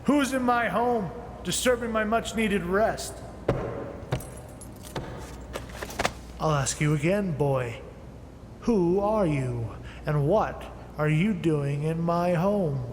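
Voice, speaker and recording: A man's voice: high-pitched, slow. An actor playing a learned, irritable inventor in his late fifties in an audio drama, speaking formally and condescendingly. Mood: irritated, indignant. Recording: noisy, echoing with long reverb.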